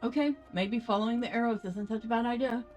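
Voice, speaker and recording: A woman's voice: on the high side, quick pace, darkish tone, soft background music. A woman giving casual let's play commentary as a game streamer, sometimes reading quest text aloud.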